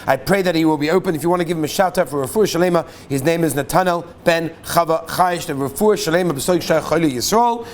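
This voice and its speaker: A man, a rabbi, reading listeners' stories aloud to his class in an animated storytelling way, sometimes asking the audience questions. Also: on the high side, quick pace, few pauses.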